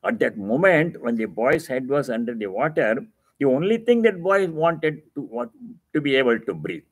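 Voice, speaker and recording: A man with an Indian accent teaching scripture as a swami, explaining terms patiently and repeating key phrases for emphasis, with deliberate pauses. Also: mid-range voice, sing-song, husky, no room sound. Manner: explains Sanskrit terms didactically. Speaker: swami giving a religious discourse. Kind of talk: scripture reading with devotional commentary.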